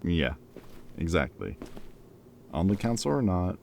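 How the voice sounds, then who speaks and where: A man's voice: low-pitched, sing-song, measured pace, vocal fry, a little mellow, slight background noise, full character voice. A man playing a former villain in an audio roleplay, a playful, loyal friend who talks in a casual, wry, bantering and hesitant way, as if in conversation. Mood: cautious, serious.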